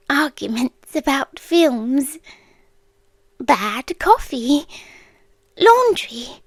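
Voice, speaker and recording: A British woman playing an ancient, lovelorn world-ending being in an ASMR roleplay, speaking in a soft, breathy, intimate whisper. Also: very high voice, dry recording, heavily acted. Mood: tender, earnest. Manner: slow, hushed, intimate, with pauses. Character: ancient female apocalypse entity, lovelorn, tender and melancholy. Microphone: close microphone in a dry space.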